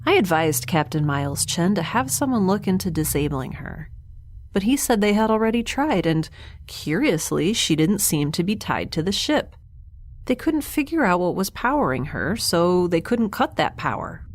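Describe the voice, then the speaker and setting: An actress playing a weary, wry young woman stranded in space, recording a halting, dry audio diary in a science fiction drama. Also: fairly low pitch, sing-song.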